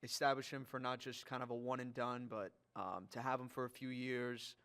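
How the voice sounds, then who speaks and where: Mid-pitched man, easygoing sports chat. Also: flat delivery, normal speed, fairly bright tone, smooth. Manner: agreeable, brief affirmations. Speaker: sports podcast co-host. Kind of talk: casual sports talk.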